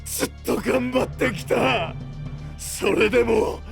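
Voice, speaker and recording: An actor playing an anguished, rough-talking young knight in a Japanese anime voice drama, with a gravelly voice. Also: male voice, extremely high pitch, takes it slowly, faint music behind, heavily acted. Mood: frustrated outburst. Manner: rough, brusque, emotional speech.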